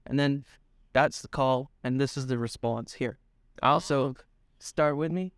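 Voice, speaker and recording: A young man co-hosting a casual podcast, speaking in a laid-back, drawn-out way. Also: medium pitch, dry recording, clean studio sound. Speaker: podcast co-host. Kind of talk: casual chat.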